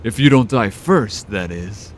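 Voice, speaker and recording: An actor playing a taunting villain leader in a fan audio drama, slow and threatening. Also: male voice, on the low side, animated, a little hiss or hum. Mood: menacing.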